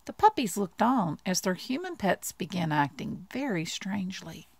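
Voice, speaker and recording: A woman reading a bedtime storybook aloud in an expressive storytelling style. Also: medium pitch, normal speed, creaky, slightly rough, no room sound. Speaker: storybook reader.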